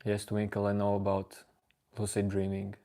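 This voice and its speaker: A man whose first language is Latvian, calmly answering viewers' chat questions on a live stream. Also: lowish voice. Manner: calm, unhurried replies to chat. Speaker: livestream host answering chat.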